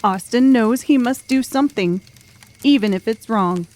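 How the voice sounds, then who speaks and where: A woman's voice: fairly high pitch, moderate pace, darkish tone. A woman narrating a dramatic audiobook story from a script.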